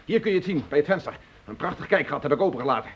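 An actor playing a stubborn, belligerent factory owner, a proud civilian, in a Dutch radio drama. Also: male voice, on the high side, animated, rapid-fire. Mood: grimly excited. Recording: muffled, hissy old radio play recording.

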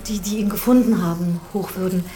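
A woman's voice: medium pitch, steady tone, brisk, dark tone, close to an everyday voice. Plain-spoken village housekeeper, played by a woman, music behind. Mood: plain, reporting. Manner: plain-spoken, deferential, blunt. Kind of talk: radio drama acting.

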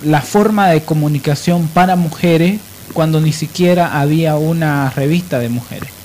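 A man's voice: fairly high pitch, normal speed, darkish tone, a little hiss or hum. A man with a Bolivian accent hosting a radio show, explaining things emphatically and with opinion. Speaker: radio host. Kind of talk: radio discussion and biographical narration.

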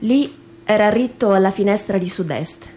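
A woman giving a dramatic literary reading in measured, emphatic phrases, in the style of radio theatre. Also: mid-range voice, mellow, a little hiss or hum. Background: music.

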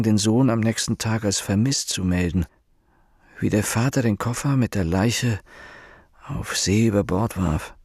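A weary police inspector in a German radio drama. His voice is low and noticeably husky. He speaks with deliberate, bitter insistence, like an interrogator who expects lies. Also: a little mellow.

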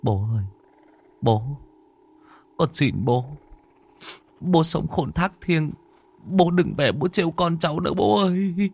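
Narrator voicing a frightened son praying. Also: male voice, a little high, expressive, slow pace, gravelly, long pauses, darkish tone, pitched above the performer's own voice, exaggerated voice. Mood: pleading. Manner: pleading, murmuring prayer.

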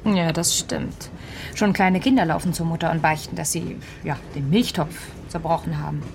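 A woman's voice: sits low, steady tone, normal speed, vocal fry, slight background noise. An actress playing an eager, sympathetic female crime writer in a radio play. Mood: engaged, sympathetic.

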